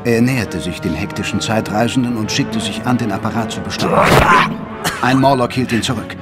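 A man's voice: fairly low pitch, a little fast. A man narrating a scripted audio drama as a dramatic storyteller. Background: music.

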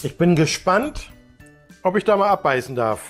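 Casting: middle-aged man, animated pitch movement, casual food-tasting co-host, music behind. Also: moderate pace. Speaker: co-host and taster. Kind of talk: casual chat, commenting on food. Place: home kitchen.

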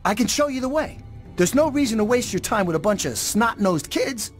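A man's voice: high-pitched, animated, brisk, soft background music. A young man playing an eager, whiny, naive teenage boy in a video game. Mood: eager, persuasive.

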